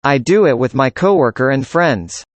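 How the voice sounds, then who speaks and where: A man's voice: medium pitch, lively intonation, moderate pace, no echo. Man reading scripted classroom dialogue. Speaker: student in a dialogue.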